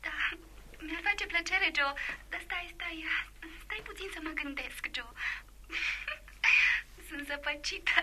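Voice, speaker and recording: Flustered young woman, extremely high, piercingly bright, hesitant, radio theatre. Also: long pauses, a little hiss or hum, full character voice, rough recording. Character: Susan, flustered, sheltered young woman from a good family.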